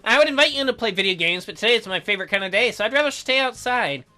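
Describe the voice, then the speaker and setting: A man's voice: high-pitched, animated, very fast, bright, forceful tone. A man reading a visual novel aloud as a let's play host, in a theatrical, jokey way with his own ad-libbed commentary.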